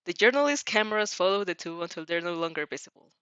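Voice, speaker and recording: A woman's voice: lowish voice, expressive, quick pace, fairly bright tone, clean tone, no room sound, dull audio. A woman narrating fan fiction expressively as an amateur reader, sometimes stumbling over words.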